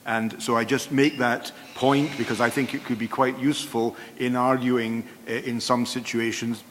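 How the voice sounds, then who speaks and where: A man's voice: mid-range voice, level tone, normal speed, slight background noise. A man, a law professor, making a precise point with dry self-deprecating humor on an expert panel. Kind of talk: conference panel remarks. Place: large conference hall. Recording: long-reverb conference hall.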